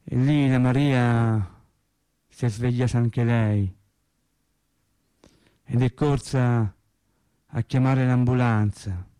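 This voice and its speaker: An actor playing a naive working-class man in a radio drama monologue, telling his sad story in a colloquial, halting, wry way. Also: mid-range voice, fairly even intonation, mellow, smooth, no room sound, close to an everyday voice. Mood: resigned, sorrowful. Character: naive working-class man recounting his cancer and death, resigned, tender.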